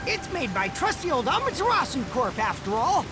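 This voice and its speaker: A woman's voice: high-pitched, big pitch swings, fairly quick, music in the background. A woman playing a chatty, assured character explaining things in a video game. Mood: informative. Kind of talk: English video game voice acting.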